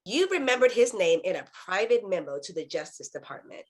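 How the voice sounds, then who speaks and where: A woman's voice: mid-range voice, big pitch swings, a little fast. A woman playing a skeptical news reporter in a script read, asking pointed questions. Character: persistent, skeptical news reporter confronting a politician. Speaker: actor in a virtual table read. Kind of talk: screenplay table-read acting.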